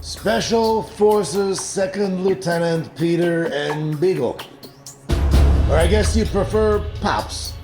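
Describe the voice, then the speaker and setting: An actor playing a smug, menacing military officer who interrogates with mock politeness in a video game drama. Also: male voice, high-pitched, takes it slowly, over music. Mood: accusing, smug.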